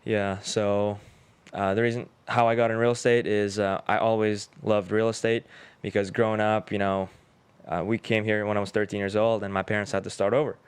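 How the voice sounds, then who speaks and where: A man's voice: on the low side, flat delivery, fairly quick, clear, resonant tone, no room sound. A man in his twenties from Uzbekistan, a native Russian speaker, telling his own story casually and informally as a podcast guest. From Tashkent, Uzbekistan. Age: 20s. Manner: informal, slangy.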